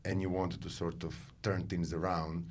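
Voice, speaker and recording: A man's voice: low voice, level tone, normal speed. A man hosting an interview podcast, prompting his guest and summing up her points. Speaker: podcast host. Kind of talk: interviewer conversation.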